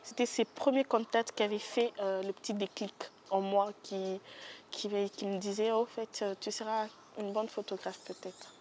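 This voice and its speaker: A young woman with a Congolese French accent answers interview questions in a studio. She speaks softly and hesitantly, filling pauses as she goes. Faint background noise runs under the recording. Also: mid-range voice, fairly even intonation. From Democratic Republic of the Congo. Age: young adult. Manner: hesitant, many fillers, soft-spoken. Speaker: guest photographer.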